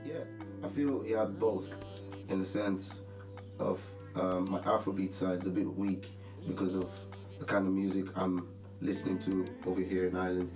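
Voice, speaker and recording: A young man from Nigeria, a musician, talking about his music in a relaxed, halting way with many pauses, over a music bed in a roomy space. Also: low voice. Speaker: musician interviewee. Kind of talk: casual interview talk. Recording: roomy sound with music behind.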